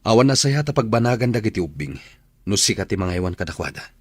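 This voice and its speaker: An actor playing a stern, bitter husband in an Ilocano radio drama, speaking bluntly and accusingly in a husky Ilocano-accented voice. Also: male voice, on the low side, big pitch swings, quick pace, no echo, studio quality. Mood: angry, bitter. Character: bitter, stern husband throwing out his estranged wife.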